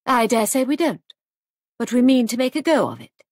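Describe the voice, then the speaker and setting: A woman narrator acts a spirited young hostess in a storybook. She speaks lightly and briskly with pointed politeness and quiet defiance. The voice is a little husky, recorded dry. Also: fairly high pitch, lively intonation, dry recording, pitched above the performer's own voice. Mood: lightly defensive.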